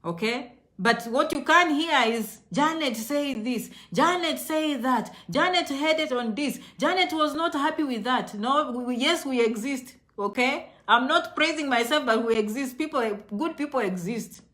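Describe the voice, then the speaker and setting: Kenyan lady vlogging, high sharp voice, really emphatic, says stuff twice to drive it home. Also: normal speed. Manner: emphatic, repeats phrases for stress. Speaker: online video host. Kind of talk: casual motivational vlog talk to viewers.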